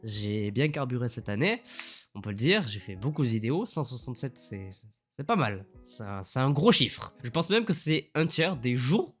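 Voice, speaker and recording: A man speaks with a congested, husky voice from a cold, at an ordinary middle pitch. He rambles enthusiastically with plenty of fillers while a faint guitar tune plays behind him. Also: sing-song, moderate pace. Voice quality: slightly lower, husky voice from the cold. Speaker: YouTuber. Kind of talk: year-in-review vlog talk.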